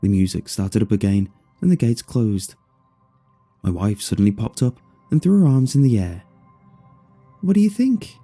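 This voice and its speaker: A man narrates a first-person horror story in a very dark, mellow voice. It has a husky edge and lively, expressive movement, with music playing underneath. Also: on the low side, moderate pace.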